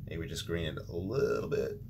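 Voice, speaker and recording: A man's voice: low voice, normal speed, noisy background. A man hosting a watercolor painting tutorial, talking casually as he paints with frequent ums and restarts. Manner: relaxed, thinking aloud with ums and restarts.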